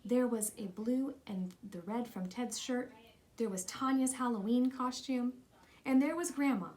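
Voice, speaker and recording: Higher-voiced woman reading a children's story. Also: unhurried, a little mellow. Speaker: storybook reader. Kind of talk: read-aloud children's story narration.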